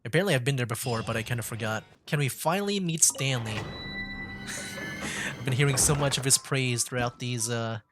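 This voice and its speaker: A man's voice: mid-range voice, moderate pace, music in the background. A man giving casual gameplay commentary as a streamer, thinking aloud in a hesitant way. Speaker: game streamer, virtual YouTuber.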